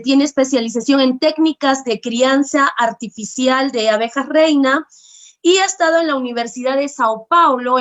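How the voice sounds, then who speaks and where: A woman's voice: sits high, dull audio. A woman hosting an online talk, introducing the guest politely and formally. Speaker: host.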